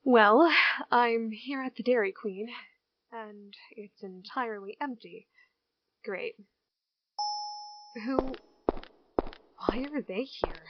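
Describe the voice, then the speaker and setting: A woman playing a withdrawn small-town girl in an amateur audio drama, hesitant, halting and apologetic. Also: fairly high pitch, slight background noise. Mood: wary, confused. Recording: dull, dry lo-fi home recording.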